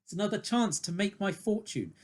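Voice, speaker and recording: A woman's voice: medium pitch, brisk, lightly acted. A woman with a British accent playing an eager, apologetic, ambitious young man in a comic radio play. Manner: excitable, earnest, apologetic.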